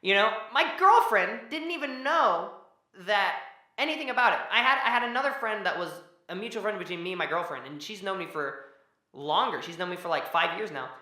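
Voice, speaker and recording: A young man with a naturally high, bright voice chats on a podcast, worn out after a long day. He rambles and restarts his sentences, trailing off mid-thought. The room around him rings with echo. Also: expressive, fairly quick. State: tired from working all day. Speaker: podcast co-host. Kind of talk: casual podcast chat. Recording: echoey room.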